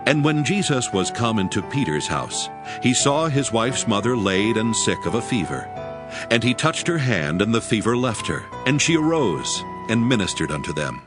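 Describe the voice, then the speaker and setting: A man's voice: lowish voice, big pitch swings, moderate pace. A man narrating scripture in a solemn, scripted storytelling style with archaic phrasing. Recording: music behind the voice.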